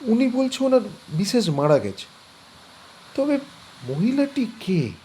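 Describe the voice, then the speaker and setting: A man's voice: extremely high pitch, big pitch swings, dark tone, slight background noise, exaggerated voice. A man playing a cautious, uneasy young man. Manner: measured, uneasy questioning. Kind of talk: audio drama acting.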